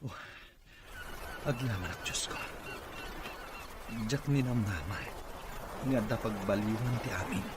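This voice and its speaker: A man's voice: fairly low pitch, unhurried, long pauses, background noise, natural voice. A man playing an ailing company boss in an Ilocano radio drama, weary yet hopeful. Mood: earnest, hopeful.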